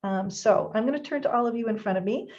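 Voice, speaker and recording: A woman with a somewhat high voice hosts a live online event. She greets viewers brightly and speaks right to them. The sound is muffled, like stream audio. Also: quick pace. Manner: upbeat, addresses audience directly. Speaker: host. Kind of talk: live-streamed webinar hosting.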